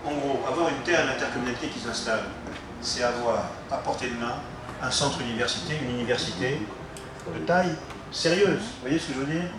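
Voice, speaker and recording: A man giving an unscripted explanatory political talk, halting yet emphatic and didactic, posing rhetorical questions. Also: mid-range voice. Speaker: local politician speaking on town alliances. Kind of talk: unscripted explanatory speech to listeners. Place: reverberant room, recorded from some distance. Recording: very echoey room, distant mic, faint noise.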